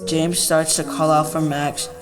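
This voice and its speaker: Even-toned young teenage boy reading over music. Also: fairly high pitch, unhurried. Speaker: student reading own story. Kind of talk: scripted story reading.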